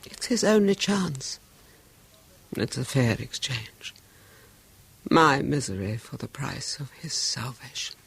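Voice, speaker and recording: An actress playing an anguished wife in a 1970s radio drama, speaking in a pleading, emotional way. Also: female voice, a bass voice, very animated, slow pace, gravelly, long pauses, a little hiss or hum, exaggerated voice. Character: anguished wife leaving her husband.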